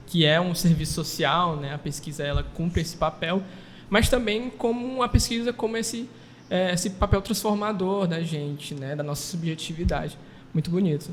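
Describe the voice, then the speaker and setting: A man hosts conversationally in a fairly high voice. His phrasing is halting and appreciative, heard with long reverb and a little background noise. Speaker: podcast co-host. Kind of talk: conversational panel hosting. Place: makeshift studio at a university campus event.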